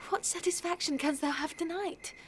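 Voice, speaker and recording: An eager young noblewoman in love, with a high English voice. She speaks classical verse tenderly and breathily, slipping into whispers, over faint background noise. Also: moderate pace. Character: lovestruck, eager teenage noblewoman in Shakespeare play. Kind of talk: classical verse audio drama.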